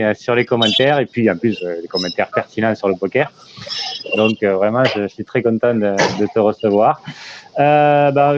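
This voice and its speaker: A man's voice: mid-range voice, dull audio, background noise. A man hosting a casual interview over a video call, talking hesitantly with many fillers. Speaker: interview host, channel creator.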